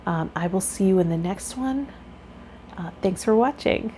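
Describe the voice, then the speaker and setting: A woman's voice: medium pitch, expressive, normal speed, dark tone, slight background noise. A woman speaking to viewers as a tarot vlogger and spiritual guide, reading aloud and chatting.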